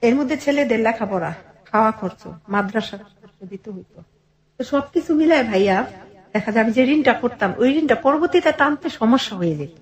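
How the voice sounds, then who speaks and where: A woman's voice: fairly high pitch, lively intonation, brisk, faint music behind, low-quality recording. A middle-aged woman with a Bangladeshi accent telling a personal story in conversation, earnestly and in detail, often quoting dialogue. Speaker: interview guest telling her life story.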